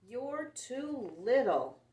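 An exasperated big brother from a picture book, acted out by a woman, scolding in long, drawn-out words. The delivery is emphatic and swings in pitch, in a roomy recording. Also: sing-song, mellow, full character voice. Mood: annoyed, scolding. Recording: roomy, distant camera microphone.

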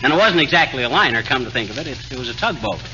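An actor playing a folksy, blustering husband full of wisecracks in a 1940s radio comedy. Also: male voice, mid-range voice, big pitch swings, racing, over music, lightly acted. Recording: noisy, muffled 1940s radio recording.

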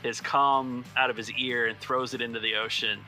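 A man's voice: medium pitch, flat delivery, normal speed. A man acting as game master, improvising narration and rulings for a tabletop roleplaying game. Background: music.

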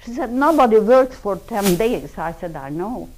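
An older woman with a Hungarian accent, speaking in a deliberate, storytelling way in an indoor lecture room. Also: medium pitch, animated, darkish tone, a little hiss or hum, poor audio. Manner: slow, deliberate storytelling with broken English grammar. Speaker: public speaker. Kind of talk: personal testimony talk.

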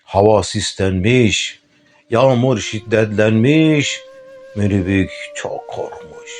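A deep-voiced man tells a fairy tale in a gentle, singsong way, as if to a child. His delivery is slow, with lively rises and falls in pitch. A faint flute plays behind him. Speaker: storyteller. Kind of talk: bedtime fairy-tale narration. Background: faint flute music.

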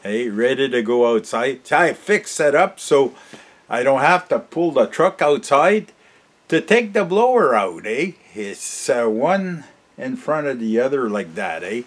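A Canadian man in his sixties hosts a homemade vlog from his garage. He talks slowly at a middling pitch, halting and rambling as he spins a tale. His delivery is folksy and jovial, with sentences often tagged with a questioning little "eh". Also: sing-song. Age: 60s. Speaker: vlog host. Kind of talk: casual rambling storytelling to camera. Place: cluttered garage workshop, indoors.

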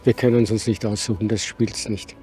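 An older man giving reflective, measured answers in an outdoor street interview. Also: fairly low pitch, little pitch movement, warm tone, faint music behind. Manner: measured, reflective, some hesitations. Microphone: handheld microphone held by interviewer.

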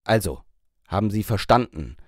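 A male narrator plays a young businesswoman in an audiobook. She is haughty and commanding, delivering measured, cutting reprimands. The recording is dry. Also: lowish voice, animated, no echo, pitched below the performer's own voice. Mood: coolly insistent. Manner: stern, condescending, measured.

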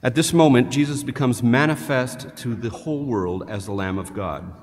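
An older man, a deacon, delivering a measured homily read from a prepared text at a lectern in a large cathedral. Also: medium pitch, slight background noise. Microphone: headset mic, standing at a lectern. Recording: long reverb of a large church.

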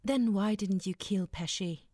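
Woman acting a cold, dark-voiced crime boss. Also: mid-range voice, measured pace, no echo, clean studio sound, natural voice. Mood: cold command. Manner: curt, imperious. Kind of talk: crime audio drama acting.

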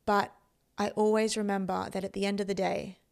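Female podcaster, 30s, Australian accent, medium pitch with plenty of fry, emphatic and sure of herself. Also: level tone, a little fast, darkish tone. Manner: emphatic, self-assured. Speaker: podcast host and performance coach. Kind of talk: motivational personal storytelling to camera. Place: indoor room. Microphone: podcast microphone on boom arm, close up.

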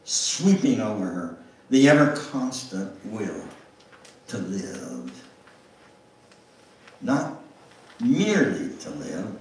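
An older man reads literary prose aloud in a church with theatrical weight. His delivery is slow, swinging widely in pitch as he stretches words and leans on pauses. The sound is heavily reverberant, picked up from across the room. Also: distant mic. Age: older, 70s or above. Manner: theatrical, deliberate, with drawn-out words and emphatic pauses. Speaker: guest speaker reading at a church. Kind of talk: dramatic reading of a memoir. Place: church sanctuary, indoors at the front. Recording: roomy, echoing church sound from afar.

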